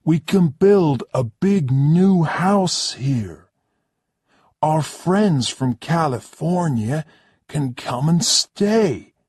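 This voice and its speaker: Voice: medium pitch, lively intonation, very slow, a little mellow, breathy edge, no room sound, studio quality, pitched above the performer's own voice, full character voice. A reader voicing a husband who coaxes his wife sweetly. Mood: coaxing. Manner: coaxing. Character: smooth-talking American husband, coaxing.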